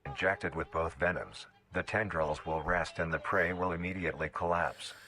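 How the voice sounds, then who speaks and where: A man's voice: deep voice, moderate pace, pushed and bright. A man reading scripted documentary-style narration for a horror video in a formal, clinical way.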